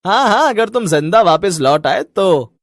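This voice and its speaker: Man acting a nervous superstitious man, higher voice, agitated, protesting, animated cartoon delivery. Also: a little slow, dry recording, studio quality. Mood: defiant, nervous. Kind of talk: Hindi cartoon story acting.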